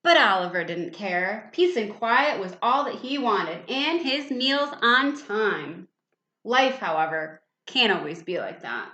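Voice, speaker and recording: A woman reading a storybook aloud to young children in an expressive, animated way, adding little questions and asides for the listeners. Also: mid-range voice, takes it slowly, roomy sound.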